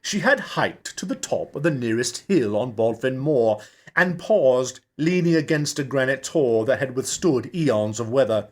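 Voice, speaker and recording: A man, an actor, narrating a story from a script with measured, dramatic phrasing. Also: medium pitch, lively intonation, a little mellow. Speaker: actor reading an audiobook.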